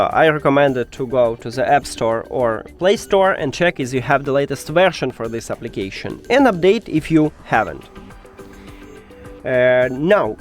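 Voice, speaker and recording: Man with higher voice, tutorial narration. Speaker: tech tutorial host. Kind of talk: step-by-step how-to narration.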